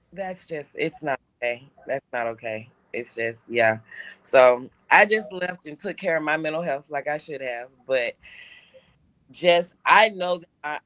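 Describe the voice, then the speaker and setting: A man's voice: a little high, fairly bright tone, no room sound. A man co-hosting a casual talk show, telling a personal story with lots of restarts and fillers. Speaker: show co-host. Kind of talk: casual podcast chat.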